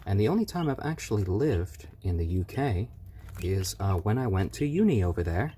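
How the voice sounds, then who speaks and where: A man's voice: low-pitched, very animated, normal speed, warm tone, slight background noise. A man hosting a casual live stream, chatting easygoingly with viewers and cooing over his baby chicks at home.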